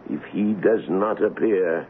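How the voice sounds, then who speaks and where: An actor playing a shrewd, commanding royal colonel in a radio drama, speaking with emphatic, persuasive deliberation. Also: male voice, low-pitched, unhurried. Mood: urgent, grave. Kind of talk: old-time radio drama acting. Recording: muffled, noisy vintage radio broadcast.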